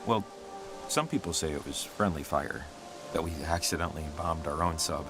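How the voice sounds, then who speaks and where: An actor playing a chatty, excitable young man in a video game, rambling and hesitant in a casual way. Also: very deep voice, normal speed, over music. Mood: musing. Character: chatty, excitable young man, goofy local believer in ghost stories. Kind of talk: naturalistic video game dialogue.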